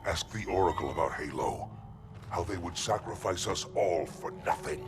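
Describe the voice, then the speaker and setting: An actor playing a bitter, fervent alien heretic leader in a video game cutscene, with an impassioned theatrical delivery. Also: male voice, low-pitched, moderate pace. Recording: prominent music over the voice.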